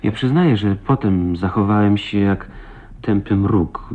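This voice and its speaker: An actor playing an ardent, proud man in love with his wife, in impassioned, halting 1980s Polish radio drama style. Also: lowish voice, lightly acted. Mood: fervent confession. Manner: impassioned, emotional, halting delivery. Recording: dull lo-fi radio play recording.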